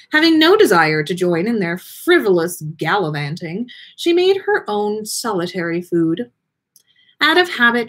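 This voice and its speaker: A woman reading a story aloud in a theatrical, expressive way with drawn-out words and pauses, as the host of a livestream. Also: medium pitch, husky. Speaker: podcast host reading listener fan fiction on a livestream.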